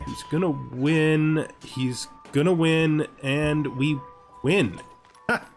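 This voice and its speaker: A man's voice: on the high side, steady tone, slow, creaky. A man giving thinking-aloud gameplay commentary, deliberating, repeating himself and often checking with a questioning tag. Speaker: gaming host playing a strategy game. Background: music.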